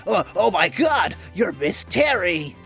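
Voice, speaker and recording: A man's voice: high voice, big pitch swings, takes it slowly, vocal fry, a bit bright, faint music behind, full character voice. A man voicing a nervous, starstruck young male superfan in a video game, stammering and hesitant.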